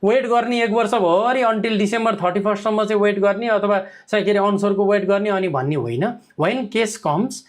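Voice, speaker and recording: Rapid-fire man. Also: high voice. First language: Nepali. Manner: code-switching, heavy fillers, frequent checks like "okay?". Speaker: migration advisor. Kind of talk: live Q&A answering viewer comments.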